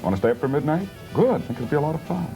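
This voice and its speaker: A man's voice: a little high, expressive, very fast, loud music behind the voice, low-quality recording. A man hosting a talk show in a casual, folksy and jocular manner. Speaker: television talk show host. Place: television studio. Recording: hissy 1970s TV broadcast audio.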